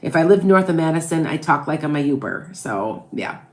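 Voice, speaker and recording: This is a woman tarot reader from the Midwest, her accent a blend of Wisconsin and Chicago. She talks in a low, easy, chatty way on a live stream. She answers viewer questions one after another and keeps asking "okay? all right?". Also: lively intonation, normal speed. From American Midwest.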